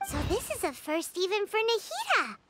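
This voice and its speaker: A tiny floating fairy girl from a video game. The voice is extremely high and squeaky, with animated swings and a flustered, exclaiming manner. Faint music plays behind. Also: normal speed, full character voice. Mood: curious. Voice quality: squeaky, shrill. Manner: flustered, exclaiming, speaks of self in third person.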